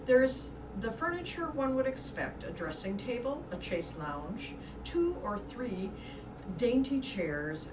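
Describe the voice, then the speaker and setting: A woman reading stage directions as narrator of a staged play reading. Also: mid-range voice. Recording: distant mic, echoey room, background noise.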